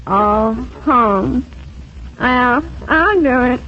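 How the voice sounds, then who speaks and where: An actress playing a literal-minded, self-deluded housemaid in a 1940s radio sitcom, deadpan and guileless. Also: female voice, on the high side, very slow, exaggerated voice. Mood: resigned, dreamy. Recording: muffled old radio recording.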